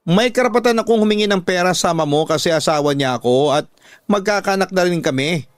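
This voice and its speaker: A man playing a snippy, entitled twenty-year-old stepmother, haughty and sharp-tongued, in a radio drama. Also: high voice, fast talker, dry recording, clean studio sound, soft background music, pitched above the performer's own voice, natural voice. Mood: snippy, defiant. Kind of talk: Filipino radio drama acting.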